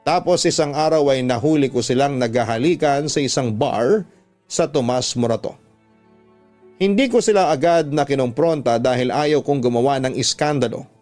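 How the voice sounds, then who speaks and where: Man, mid-pitched, radio drama narrator, earnest, sentimental first-person reading, music bed. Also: normal speed, darkish tone. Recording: music under the voice.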